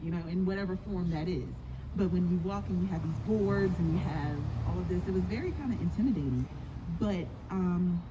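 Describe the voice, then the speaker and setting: A woman's voice: on the low side, brisk, muffled recording. A woman panellist chatting casually and jokingly about her experience. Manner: animated, joking. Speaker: panellist recounting studio experience. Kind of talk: casual chat. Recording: clearly noisy live event.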